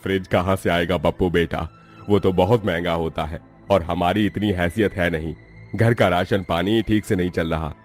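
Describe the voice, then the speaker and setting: A man's voice: low voice, steady tone, moderate pace, soft background music, close to an everyday voice. A man playing a blunt, grumbling elderly grandfather of a poor family in a cartoon story. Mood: resigned. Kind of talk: Hindi cartoon story dubbing.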